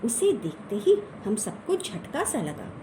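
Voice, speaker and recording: Woman storyteller, high and very animated. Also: takes it slowly, a little hiss or hum. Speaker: audiobook reader. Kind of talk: expressive humorous children's story narration.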